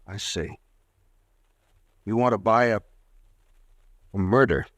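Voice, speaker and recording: An actor playing a nervous young drugstore clerk who stammers and grows defensive, in 1940s radio acting. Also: male voice, lowish voice, slow, no echo, studio quality.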